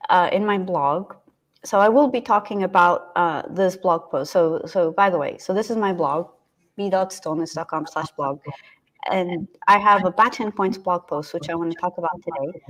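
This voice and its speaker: A woman in her thirties co-hosts a casual live stream from a room at home over a video call. Her voice sits low, and she talks in a chatty way, often restarting her sentences. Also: normal speed, a bit bright. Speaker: co-host.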